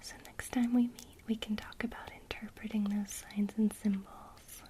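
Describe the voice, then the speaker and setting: A woman whispering a gentle witchcraft lesson in ASMR style, speaking directly to the listener. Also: mid-range voice, level tone, normal speed. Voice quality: soft unvoiced whisper throughout. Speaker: ASMR creator teaching witchcraft.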